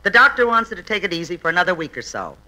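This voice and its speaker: A woman with an American accent and a deep, mannish voice, trading jokes as a guest on old-time radio comedy. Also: very animated, very fast, bright, forceful tone. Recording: vintage radio broadcast.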